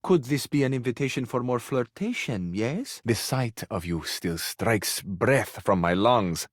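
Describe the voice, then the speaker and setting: An actor playing a flowery, sensual, effusive Italian suitor who flirts overzealously in a video game, with an Italian accent. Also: male voice, medium pitch, lively intonation, unhurried, no echo, clean studio sound. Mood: amorous, flirtatious.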